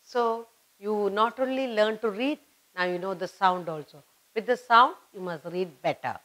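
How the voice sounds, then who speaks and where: A woman's voice: mid-range voice, normal speed, a bit bright. A middle-aged woman with an Indian accent teaching young children, speaking emphatically in simple, repeated phrases and questions. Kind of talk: instructional lesson for young children. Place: indoor studio at a whiteboard.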